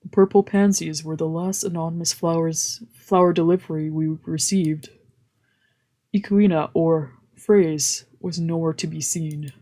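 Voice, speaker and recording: A woman's voice: low voice, fairly even intonation, measured pace, mellow. A woman reading a visual novel aloud as a streamer, shifting between narration and casual asides, with game music behind her. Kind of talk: dramatic let's play read-aloud with casual asides.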